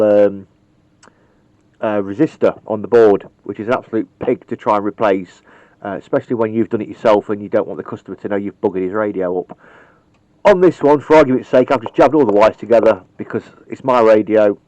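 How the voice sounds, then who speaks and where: A man's voice: fairly low pitch, darkish tone, dry recording. A man casually demonstrating how to wire a radio microphone, rambling and chatty, with frequent ums and the odd mild swear word. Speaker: radio hobbyist demonstrating wiring. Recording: dull, lo-fi home video sound.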